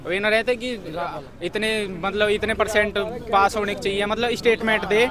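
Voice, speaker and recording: A man's voice: sits high, flat delivery, moderate pace. A young man, an exam candidate informally recapping a paper and often rephrasing himself. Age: young adult. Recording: busy outdoor street, crowd and traffic noise.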